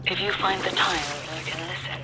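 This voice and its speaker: A woman voicing an earnest, gentle resistance ally, giving measured, careful guidance over the radio in a video game. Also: fairly low pitch, fairly even intonation, heavy background noise, lightly acted. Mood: confiding.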